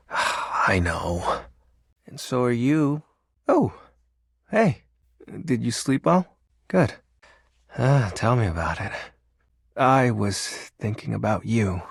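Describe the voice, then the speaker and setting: Husky, slow-talking shy lord of darkness. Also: male voice, fairly low pitch, lively intonation, dry recording, studio quality, heavily acted. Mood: sheepish, apologetic. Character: personified darkness, shy sentimental awkward self-styled shadow lord. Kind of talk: video game voice acting.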